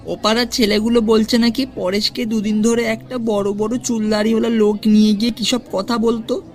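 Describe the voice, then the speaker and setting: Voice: mid-range voice, level tone, a little fast, close to an everyday voice. An earnest village boy played by an actor explains himself in a hurry. It is audio drama acting with faint music underneath. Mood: anxious earnest.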